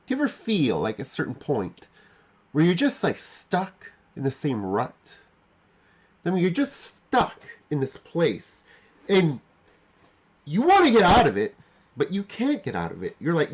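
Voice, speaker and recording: A man's voice: fairly high pitch, breathy edge. A man in his forties hosting a livestream, talking off the cuff and reflectively, often repeating and rephrasing himself with emphasis. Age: 40s. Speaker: livestream host. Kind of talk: unscripted reflective monologue to live chat.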